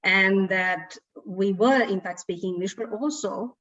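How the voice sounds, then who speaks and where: A middle-aged woman from Sri Lanka with a Sri Lankan accent, a guest speaker telling an animated personal story. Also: medium pitch, unhurried. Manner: animated storytelling with frequent laughs. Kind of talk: storytelling in an online talk. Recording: distant, dull lo-fi audio.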